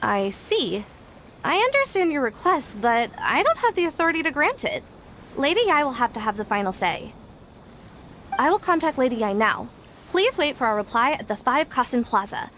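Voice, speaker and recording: A woman's voice: sits high, sing-song, normal speed, a bit bright, a little hiss or hum. An actress playing a courteous, cheerful young prize attendant in a video game, formal in her customer service. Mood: courteous, businesslike.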